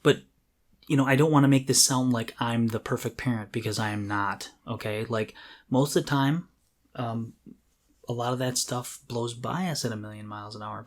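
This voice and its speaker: A man talks casually as a podcast co-host. His voice sits at an ordinary middle pitch. He comes across as candid and self-deprecating, speaking in a loose conversational way.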